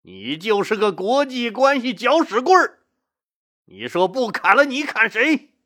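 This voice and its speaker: Casting: narrator doing a combative male official of ancient China, forceful, scornful, rhetorical delivery. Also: mid-range voice, big pitch swings, measured pace, voice pushed up.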